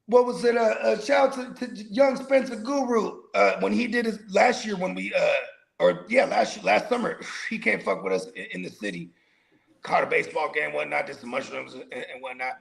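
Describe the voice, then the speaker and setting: Gravelly rambling man in his forties. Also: sits high, normal speed. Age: 40s. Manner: rambling, laughs often, says "you know what I mean". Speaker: podcast co-host. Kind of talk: casual profane banter.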